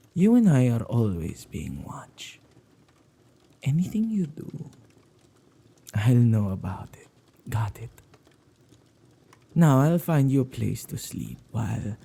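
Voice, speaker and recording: A man playing a playfully menacing, possessive male witch in an ASMR roleplay, with a dark, gravelly, husky voice that often drops to whispers and a teasing, drawn-out delivery. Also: fairly low pitch, heavily acted. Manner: teasing, unhurried, with drawn-out words and hesitant repeats.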